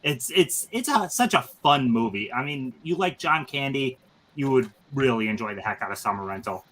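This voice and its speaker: A man's voice: medium pitch, animated, moderate pace, a bit bright, slight background noise, rough recording. A man in his thirties hosting a casual movie-chat stream, talking eagerly with lots of fillers. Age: 30s. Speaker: stream host.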